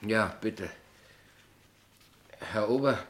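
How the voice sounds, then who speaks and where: An actor in a radio play voicing a naive, stubborn small-town poet who speaks plainly and earnestly. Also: male voice, fairly low pitch, very slow. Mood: artless, curious. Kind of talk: radio play acting in German.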